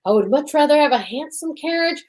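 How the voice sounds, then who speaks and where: A woman's voice: high voice, expressive, brisk, darkish tone. A woman playing an eccentric, tipsy male photographer with a pompous, flowery, theatrical manner in old-time radio style. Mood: grand, whimsical. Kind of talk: old-time radio comedy-detective acting.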